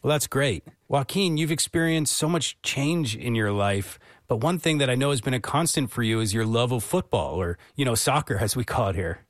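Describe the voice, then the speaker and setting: A man's voice: mid-range voice, lively intonation, moderate pace, few pauses, no room sound, studio quality. Effusive American male radio host. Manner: effusive, encouraging. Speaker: radio show host and interviewer. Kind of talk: public radio music interview.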